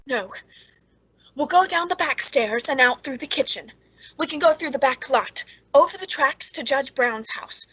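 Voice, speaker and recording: Girl acting bitter clever woman in an old radio thriller, really high voice, brooding and kinda menacing. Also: expressive, normal speed, a bit bright, rough recording. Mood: urgent, hushed alarm.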